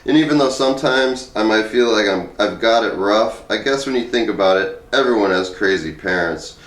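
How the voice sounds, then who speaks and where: A man with a fairly low voice reads a comic essay aloud. His delivery is conversational and wry, like personal storytelling. The room echoes clearly and he sounds a little way back from the microphone. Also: moderate pace. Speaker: reader of a humorous essay. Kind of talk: comedic first-person essay read aloud. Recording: roomy echo, distant microphone.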